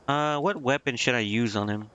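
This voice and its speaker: A man's voice: medium pitch, normal speed, a bit bright, highs cut off. A man giving casual live gameplay commentary, thinking aloud to himself in a hesitant, muttering way. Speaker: game streamer.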